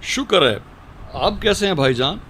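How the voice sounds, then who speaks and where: An actor playing a kindly, indulgent village uncle, speaking in a friendly, placating way in an Urdu radio drama. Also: male voice, high-pitched, animated, moderate pace, a bit bright, a little hiss or hum. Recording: sounds distant from the microphone.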